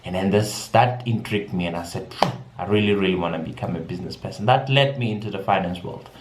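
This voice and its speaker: Low-voiced young man vlogging in an echoey room. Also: fast, noisy background. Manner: chatty, repeats words as he goes. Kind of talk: casual personal vlog. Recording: echoey room with music behind.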